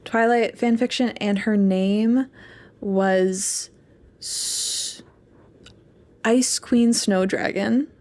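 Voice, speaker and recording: A woman's voice: mid-range voice, steady tone, drawn-out words, long pauses, darkish tone. A woman in her twenties co-hosting a podcast in a casual, rambling, candid chat. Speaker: podcast co-host, English PhD student.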